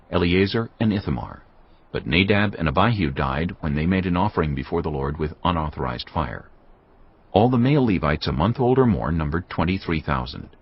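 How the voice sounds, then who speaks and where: A man narrating scripture from a script in a solemn, deliberate manner. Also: low-pitched, husky. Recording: slightly muffled, music underneath. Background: background music.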